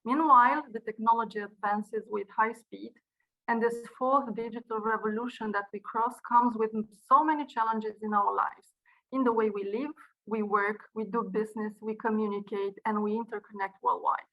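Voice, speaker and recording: A woman's voice: a little high, fairly even intonation, dull audio. Earnest Romanian-accented woman giving a speech. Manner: earnest, measured delivery with occasional restarts. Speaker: university director giving a speech. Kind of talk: prepared speech.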